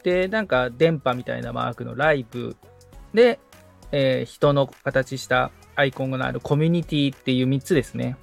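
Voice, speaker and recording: A man's voice: mid-range voice, moderate pace, creaky, faint music behind. A man assisting on a podcast, politely following along and describing each step aloud. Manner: polite, attentive, agreeable.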